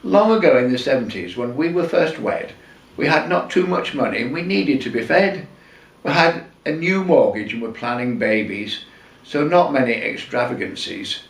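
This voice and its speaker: A man in his sixties from England reciting his own rhyming comic poem in a measured, theatrical storytelling way, leaning on the rhymes. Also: medium pitch, vocal fry. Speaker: amateur poet reading own work. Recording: roomy echo, distant microphone.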